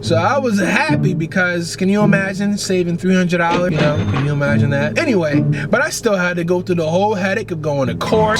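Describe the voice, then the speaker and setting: A young American man narrating a comic story with exaggerated, slangy, emphatic delivery. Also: sits high. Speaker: animated storyteller. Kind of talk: comedic scripted narration. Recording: voice-over with clear background music. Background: music.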